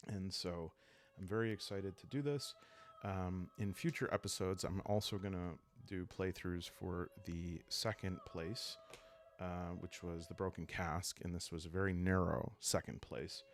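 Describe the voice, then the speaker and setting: A man speaking calmly and soothingly in an unhurried way, hosting a relaxing role-playing series meant to help listeners fall asleep. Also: low voice. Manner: calm, unhurried, soothing delivery. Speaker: host of a solo role-playing video series. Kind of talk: calm, sleep-aid ASMR narration to viewers. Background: background music.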